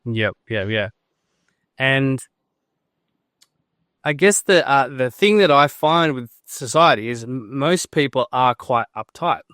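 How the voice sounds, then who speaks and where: Smooth-voiced podcast host man. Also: mid-range voice, animated, takes it slowly, long pauses, a bit bright, no echo, clean studio sound. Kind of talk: hosting a podcast interview.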